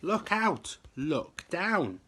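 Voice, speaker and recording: Storybook role: gentle young dinosaur played by a man, plaintive, hooting, pitched up, slightly rough and bright. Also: big pitch swings, drawn-out words, full character voice. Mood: startled. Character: small gentle young male dinosaur, apologetic and eager.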